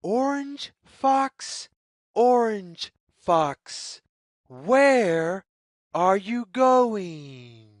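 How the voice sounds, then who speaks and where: A woman reading a picture book aloud to young children as a narrator, with deliberate, measured, sing-song phrasing. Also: mid-range voice, sing-song, no echo, clean studio sound.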